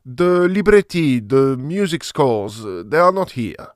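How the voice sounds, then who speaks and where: An actor playing a fussy, courteous maestro of a Victorian opera company in an audio farce, hesitant and theatrical. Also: male voice, medium pitch, expressive, a little slow, no echo, studio quality, natural voice. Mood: flustered. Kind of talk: period farce audio drama acting.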